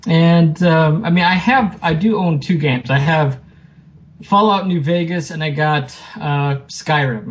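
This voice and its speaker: A man as a podcast guest, talking in a rambling, hesitant way with many fillers and easy laughs. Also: medium pitch. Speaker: guest, writer. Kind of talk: casual interview. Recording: dull lo-fi remote audio.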